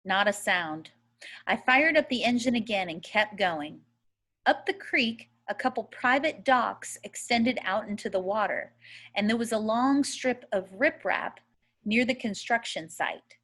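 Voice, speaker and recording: A woman reads a suspenseful children's novel aloud at a slow, deliberate pace. Her pitch is middling for a woman, and she tells the first-person story expressively, giving each phrase room. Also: lively intonation, fairly bright tone.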